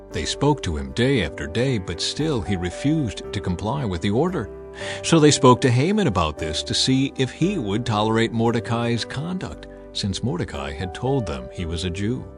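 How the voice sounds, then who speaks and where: A man reading scripture as a dramatic, expressive scripted narration. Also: mid-range voice. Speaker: Bible narrator. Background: music.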